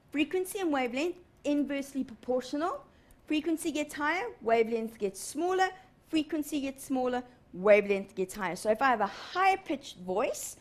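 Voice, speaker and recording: A woman in her thirties teaching a live televised lesson in a studio, animated and often checking in with "okay?". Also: a little high. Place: TV studio in front of a large screen.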